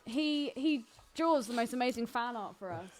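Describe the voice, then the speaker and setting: A woman co-hosting a podcast in casual banter, excitable, blunt and quick to swear. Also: fairly high pitch. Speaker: podcast co-host.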